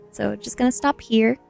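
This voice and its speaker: A woman's voice: fairly high pitch, gravelly, a little mellow. A woman streamer who does ASMR book readings, speaking to her online audience. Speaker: streamer reading a novel aloud. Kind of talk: ASMR book reading, dramatic first-person narration; casual chat to stream viewers.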